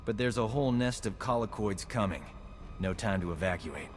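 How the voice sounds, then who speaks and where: An actor voicing a cocky, flirtatious resistance medic in a video game, with a brash, glib way of talking. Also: male voice, on the low side, moderate pace, lightly acted. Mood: flirty, pressed. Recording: roomy reverb over music.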